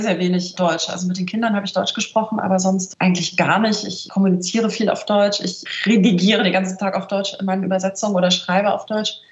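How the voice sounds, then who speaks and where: A middle-aged woman from northern Germany, a translator speaking as an interview guest, in a thoughtful, searching way with frequent little tag questions. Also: medium pitch. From northern Germany, near Celle. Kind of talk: reflective interview talk. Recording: roomy, slightly dull remote audio.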